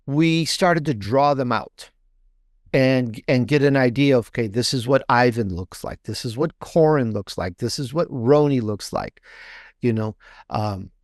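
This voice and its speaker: A man being interviewed as an author, explaining his storytelling off the cuff in a halting way, repeating words as he searches for phrasing. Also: medium pitch, no room sound, studio quality. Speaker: interview guest, fiction author.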